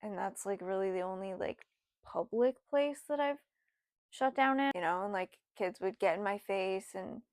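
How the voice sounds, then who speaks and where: A woman in her twenties, rambling through a casual personal story with lots of fillers, her voice sometimes dropping soft. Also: medium pitch, normal speed, dry recording, clean studio sound. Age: 20s. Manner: rambling, lots of "like" and "you know", voice drops soft at times. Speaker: vlogger.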